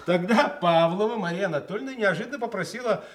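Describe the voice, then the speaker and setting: A man acting in a Soviet radio play as a brisk party secretary, speaking in official phrases with dry, authoritative irony. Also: high voice, close to an everyday voice. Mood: ironic, insistent. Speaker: actor.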